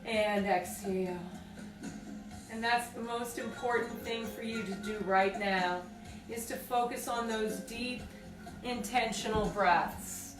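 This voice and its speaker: A woman leading a guided meditation with slow, deliberate, soothing phrasing and pauses. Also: fairly high pitch, steady tone. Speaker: group exercise coordinator leading guided meditation. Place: indoors on a rug by firelight. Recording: roomy, distant mic, music behind.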